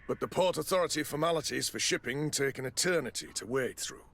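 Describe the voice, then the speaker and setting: An actor playing a smooth-talking, evasive official in a video game, formal and polite but demanding. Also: male voice, a little high, fast, fairly bright tone. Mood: businesslike, pressing. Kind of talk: video game cutscene acting.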